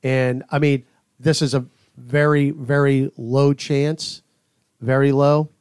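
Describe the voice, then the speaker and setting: A man giving casual sports opinions on a podcast as a commentator, emphatic and deliberate. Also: mid-range voice, flat delivery, darkish tone, clean tone, dry recording, clean studio sound.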